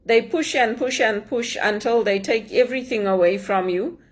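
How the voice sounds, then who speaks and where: A woman's voice: a little high, normal speed. A woman from Zimbabwe in an earnest personal monologue, aggrieved, repeating phrases for emphasis. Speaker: immigrant sharing her story.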